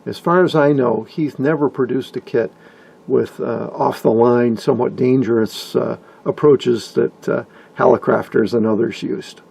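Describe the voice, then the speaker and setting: Gravelly, husky older man narrating. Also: mid-range voice, fairly even intonation, unhurried, darkish tone. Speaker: hobbyist video narrator. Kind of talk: informal scripted narration about vintage radios.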